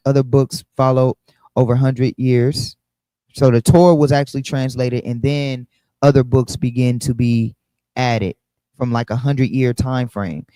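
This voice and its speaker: A man's voice: mid-range voice, dark tone, clear, resonant tone, no room sound, low-quality recording. A man teaching a casual bible study, often checking in with the listeners by asking "right?".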